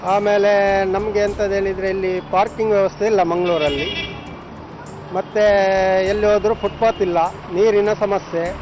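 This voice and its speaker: A man's voice: high-pitched, little pitch movement, moderate pace, long pauses, music in the background, rough recording. A dissatisfied man with a Mangalore accent speaking in a street interview. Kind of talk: street vox pop.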